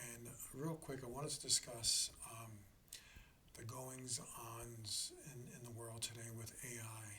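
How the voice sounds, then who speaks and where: A slightly hoarse man hosting a reflective spiritual talk, speaking in a halting, deliberate way and often checking in with the listener. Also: mid-range voice, sharp tone, slight background noise. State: slightly hoarse after a throat infection. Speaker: host of a spiritual learning channel.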